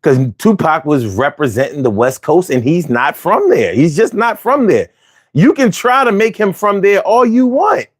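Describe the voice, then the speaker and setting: A man's voice: high-pitched, expressive, moderate pace. A man in his forties from Philadelphia with a Philly accent, hosting a casual, argumentative monologue full of slang and restarts. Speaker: host.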